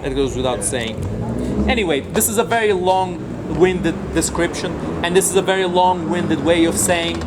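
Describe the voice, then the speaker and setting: A man's voice: high-pitched, normal speed, very noisy. A man hosting a stream, reading a book aloud and commenting with sarcasm and indignation. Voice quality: mocking, indignant.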